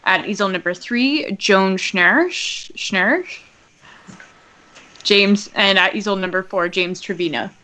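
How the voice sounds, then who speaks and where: A woman's voice: medium pitch, slow, bright, forceful tone. A woman co-hosting an online live painting contest, chatting in an upbeat way with many fillers. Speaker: co-host and commentator.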